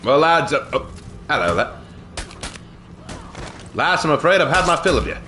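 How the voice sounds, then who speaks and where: A man's voice: on the high side, big pitch swings, moderate pace, bright, forceful tone, faint music behind. A young man with a British accent streaming a game live, joking theatrically and cursing in British slang. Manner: theatrical, jokey, lots of British slang and swearing. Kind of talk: live gameplay commentary.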